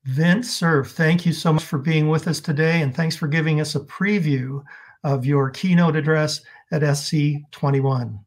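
A man's voice: on the high side, fairly even intonation, a little slow. A man hosting an interview over a video call, asking questions and politely wrapping up. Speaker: interviewer host. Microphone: video call webcam.

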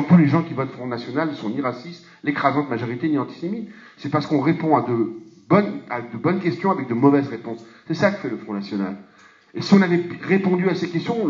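A middle-aged man speaking to an audience in a conference hall on a handheld mic, passionate and emphatic, with rhetorical questions and frequent restarts. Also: high voice. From France. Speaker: parliamentarian speaker on a panel. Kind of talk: impassioned public speech. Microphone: handheld microphone. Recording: echoey hall, dull lo-fi sound.

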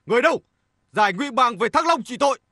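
A man's voice: very high voice, expressive, quick pace, pushed and bright, no room sound, clean studio sound, full character voice. A man playing a stern, just king in a historical cartoon, speaking in a formal, commanding way.

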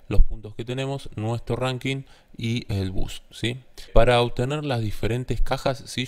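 Man, lowish pitch, tutorial host, step-by-step narration, frequent questioning tags. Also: a little mellow. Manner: often ends statements with a questioning "¿sí?" tag.

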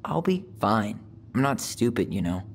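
A man's voice: lowish voice, big pitch swings, normal speed, slight background noise. A man playing a guarded young twin brother with dry humor and a casual, slightly impatient manner in a video game. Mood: mildly impatient. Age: young adult. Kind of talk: naturalistic video game dialogue acting.

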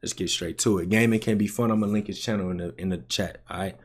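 A man's voice: on the low side, steady tone, fast, a little hiss or hum. A man in his twenties with an American accent, giving casual, slangy gaming commentary as a YouTube host. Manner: slangy, repetitive hype. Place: indoor gaming room. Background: music and game audio.

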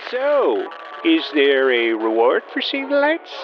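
An actor plays a cheeky small-town troublemaker in an audio drama. His voice is a bit high and swoops wildly. It is muffled, with music behind. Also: wildly swooping pitch, slow, exaggerated voice. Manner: cheeky, argumentative.

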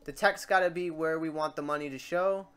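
A tutorial host chatting informally and thinking aloud in a meandering way while working through software steps. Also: mid-range voice, fairly even intonation, fairly quick, smooth. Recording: noisy room, keyboard and mouse clicks. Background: typing and mouse clicking.

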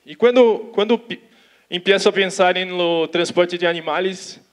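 A young man from Brazil with a Portuguese accent, giving a conference talk in halting Spanish on an auditorium stage, talking slowly with restarts. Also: high-pitched. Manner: slow, careful, with restarts and Portuguese words. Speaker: conference speaker. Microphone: handheld mic.

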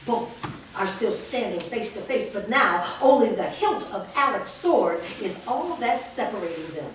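A woman reading the stage directions aloud in a staged reading, with steady, clear narration. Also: mid-range voice, measured pace, slight background noise. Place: large studio room with actors in a circle. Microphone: far camera. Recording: roomy echo from far off.

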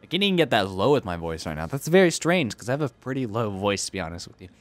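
A man's voice: on the low side, very animated, quick pace, no room sound. Goofy young man playing a strategy game. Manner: goofy, argues with himself in silly voices. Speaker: let's play host. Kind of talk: casual gameplay commentary.

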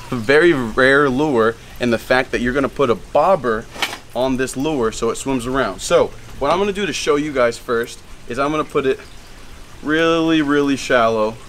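A young man hosting a fishing channel, chatting casually to viewers outdoors and getting excited as he fishes. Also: medium pitch, slight background noise. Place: outdoors by a lake and a pool.

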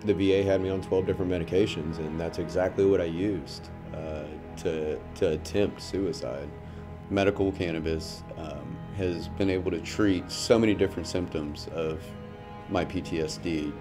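Veteran guy from north carolina, really low voice, slow somber testimonial, music under it. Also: steady tone. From North Carolina, USA. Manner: halting, somber, with pauses and restarts. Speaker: military veteran giving a testimonial. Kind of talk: documentary testimonial for an advocacy ad. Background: soft music bed.

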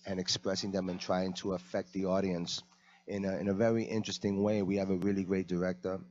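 A man's voice: low-pitched, level tone, quick pace, a little mellow. A man from the Bronx, an actor guest talking casually and hesitantly, with many ums, into a handheld mic. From the Bronx, New York. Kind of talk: casual interview talk. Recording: dull lo-fi sound.

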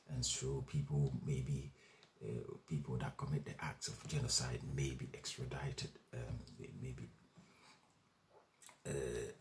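Very deep-voiced man explaining law. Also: slight background noise. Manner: measured, with frequent fillers and restarts. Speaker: legal educator. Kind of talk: explaining and reading out a legal text. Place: small room at home. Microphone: webcam at desk distance.

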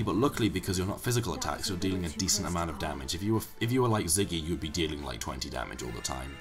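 A young man, hoarse from being ill, giving rambling, casual commentary on a game he is playing. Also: low voice. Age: young adult. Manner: rambling, self-correcting explanations. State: hoarse from illness. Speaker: let's play commentator.

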